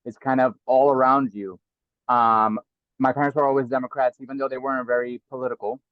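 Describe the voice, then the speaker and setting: A man's voice: medium pitch, level tone, a little fast, no echo. New man, dry muffled audio. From New York (South Bronx). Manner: earnest, reflective, repeats words as he thinks. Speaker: guest. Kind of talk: casual interview talk.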